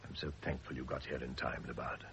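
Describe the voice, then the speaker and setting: An actor playing a sardonic, world-weary husband in a radio drama, dry and articulate. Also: male voice, rumbling low, steady tone, quick pace, creaky, bright, forceful tone, exaggerated voice. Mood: weary, relieved. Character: sardonic, world-weary husband under suspicion, quick to anger. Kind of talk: period radio drama acting. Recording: hissy old radio drama recording.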